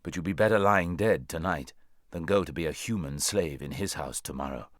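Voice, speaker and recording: A man's voice: deep voice, brisk, no echo, clean studio sound, natural voice. A man voicing a proud, worldly talking war horse in a storybook, lecturing in a haughty, bossy way. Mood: brisk, persuasive.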